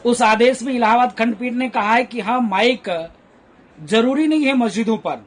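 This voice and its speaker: High-pitched man, emphatic and scolding. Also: normal speed. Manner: emphatic, scolding, rhetorical questions. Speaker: interviewee giving reaction. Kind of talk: spontaneous press reaction.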